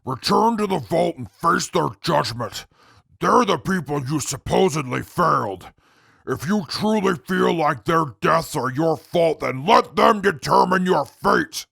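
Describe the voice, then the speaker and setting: A man playing a stern, proud prisoner with a gravelly voice and a formal, lecturing way of talking in an audio drama. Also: a little high, expressive, unhurried, fairly bright tone, no echo, studio quality, exaggerated voice. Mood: stern, urging. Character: stern, haughty male prisoner, proud and scornful. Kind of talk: post-apocalyptic audio drama acting.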